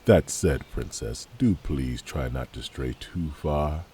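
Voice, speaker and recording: A man playing a dry, weary royal knight and protector in a fantasy audio drama, with a gravelly voice. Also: very deep voice, slow, warm tone, a little hiss or hum. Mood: dry exasperation.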